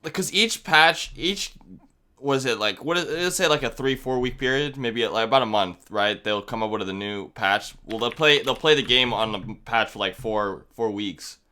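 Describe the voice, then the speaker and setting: A man's voice: medium pitch, normal speed, pushed and bright. A young man co-hosting a casual gaming podcast, ranting and swearing freely as he gets worked up. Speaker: podcast co-host.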